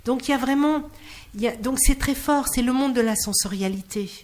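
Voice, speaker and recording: Expressive woman telling stories in an interview. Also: high voice, fairly quick. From Clermont-Ferrand, France. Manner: expressive storyteller, acts out quoted dialogue. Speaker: interview guest, singer working in hospitals. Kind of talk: animated anecdotal interview talk.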